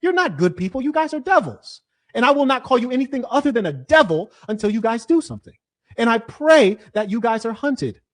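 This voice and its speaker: A man debating hotly, lecturing and combative, his voice dropping low and then rising to shouts. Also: sing-song, a little fast. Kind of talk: heated debate.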